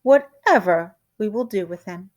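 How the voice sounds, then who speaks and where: A woman voicing an excitable, easily alarmed housewife in a storybook, in a theatrical, exclamatory way. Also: medium pitch, unhurried, lightly acted. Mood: indignant.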